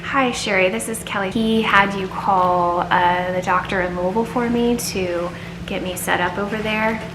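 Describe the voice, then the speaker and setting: A woman's voice: medium pitch, steady tone, moderate pace. A woman talking candidly in a personal vlog, earnest and confiding, as if thinking aloud. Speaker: vlogger. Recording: echoey room, faint background noise.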